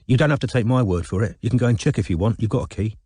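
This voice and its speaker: A man voicing a tense, defensive London man with a flat, stiff manner in an audiobook. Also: lowish voice, rapid-fire, breathy edge, dry recording, studio quality. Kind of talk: audiobook character dialogue.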